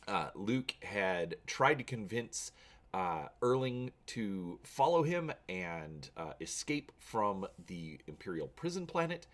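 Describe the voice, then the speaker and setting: A man hosting a channel, talking casually to viewers and reading an adventure story aloud with drama. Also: low-pitched.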